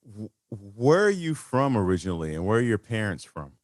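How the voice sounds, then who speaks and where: A man hosting an interview, asking reflective questions with halting, stuttering starts and many fillers. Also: lowish voice, big pitch swings, darkish tone, dry recording, studio quality. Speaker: podcast host.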